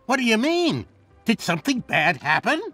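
Nervous toy dinosaur, high, animated, jittery, childlike, gushing, video game, music behind. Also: male voice, moderate pace, no echo, heavily acted. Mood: worried.

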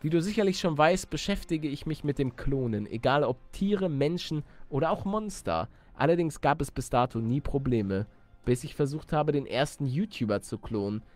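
A man's voice: a little high, expressive, normal speed, darkish tone, faint music behind, close to an everyday voice. A man reading as knowing, composed game professor. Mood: knowing, calm. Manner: explanatory, knowing, formal. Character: calm, self-assured mad professor who clones people.

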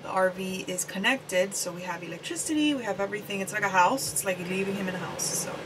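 A woman's voice: medium pitch, fast. A young woman chatting casually to a handheld camera in an informal way, often letting sentences trail off. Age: young adult. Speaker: vlogger. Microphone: handheld camera at arm's length. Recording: clear background noise, handheld camera.

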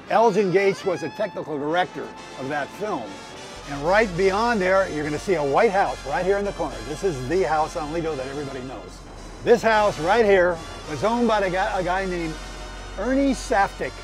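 Older american guy giving a boat tour outside, folksy storyteller, kinda high voice, music playing. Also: poor audio. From Southern California, United States. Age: older, around 70s. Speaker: harbor tour guide. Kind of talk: informal guided tour with local anecdotes. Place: outdoors on a small moving boat in a harbor.

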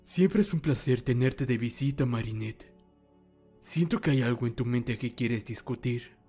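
Calm old master, mellow voice, acted by a man. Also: medium pitch, soft background music, natural voice. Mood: calm, grave. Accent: Latin American. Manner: formal, measured, reassuring. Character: calm, wise old male mentor and master. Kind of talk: fan dub of a comic.

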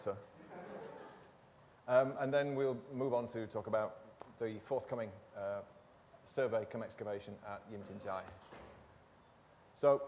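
A man's voice: medium pitch, a little mellow, slight background noise. A man giving an academic lecture in a lecture theatre, explaining steadily with the odd filler. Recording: reverberant hall.

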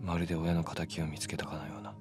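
Young male fighter, played by a male actor, very low voice, terse, rough and defiant, game drama with music behind. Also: level tone, fast, natural voice. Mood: brooding. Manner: terse, rough tough-guy talk. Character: hot-headed young male underground fighter, brooding and defiant. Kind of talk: dramatic Japanese game cutscene acting.

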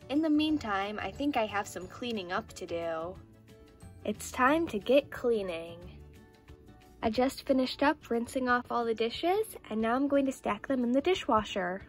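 A cheerful doll girl, played by a woman, speaks in a fairly high voice over music. She is sweet and enthusiastic, explaining things step by step like the host of a toy play video. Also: lively intonation, normal speed, lightly acted. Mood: cheerful, instructional. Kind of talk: scripted doll play narration.

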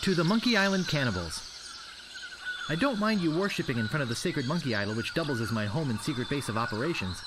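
Lively, eager young pirate over ambience. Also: male voice, a little high, fast talker. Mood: reading aloud. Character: young eager wannabe pirate hero, male, naive and wry. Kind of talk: video game voice acting. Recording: clear background ambience noise.

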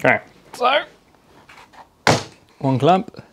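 A man explaining a woodworking job step by step in a relaxed, practical way while he works in his home workshop. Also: a little high, very slow, slightly rough. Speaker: DIY workshop host. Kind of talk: casual how-to narration while working. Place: cluttered home workshop, indoors.

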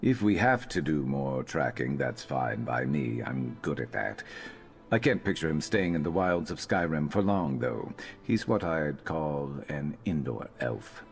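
A sardonic lizard-man tracker from a fantasy game, speaking in an extremely low voice. He is gruff, dry and disdainful in his deadpan delivery. Faint music plays behind him. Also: a little slow, exaggerated voice. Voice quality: very deep voice. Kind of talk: video game character dialogue.

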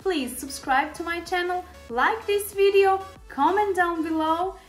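A woman's voice: extremely high pitch, a little slow, soft background music. Chatty woman in an echoey room. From Serbia. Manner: chatty, many fillers, speaks to viewers directly. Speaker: beauty vlogger. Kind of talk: makeup tutorial talk to camera. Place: small indoor room with shelves.

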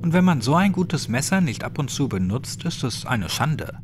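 A man voicing a gruff, crude, sadistic old man with a clipped, mocking way of talking, in a horror audiobook. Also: mid-range voice, very animated, moderate pace, music in the background, pitched above the performer's own voice, natural voice. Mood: defiant.

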